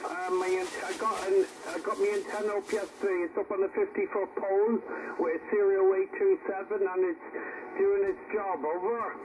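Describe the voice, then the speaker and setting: A man's voice: high voice, level tone, moderate pace. A man with an Irish accent chatting casually as an amateur operator, heard through a single-sideband radio transmission. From Ireland. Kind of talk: casual two-way radio chat. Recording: muffled, very noisy shortwave radio reception. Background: loud static and interference.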